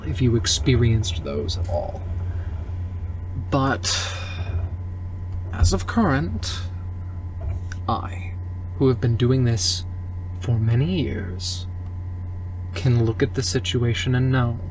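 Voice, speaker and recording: Guy playing a clinical woman doctor in a roleplay, low slow guarded voice, very precise, bit of noise behind. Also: a little mellow, lightly acted, low-quality recording. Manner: formal, deliberate, precise wording. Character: accomplished female cybernetic doctor, clinical, measured, guarded. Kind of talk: improvised roleplay dialogue.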